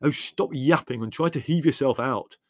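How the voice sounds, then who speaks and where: A man's voice: mid-range voice, normal speed, a little mellow, no room sound, studio quality, pitched above the performer's own voice, lightly acted. A man voicing a blunt, hot-tempered, scolding young man in an audiobook story. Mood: impatient. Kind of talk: audiobook character voice.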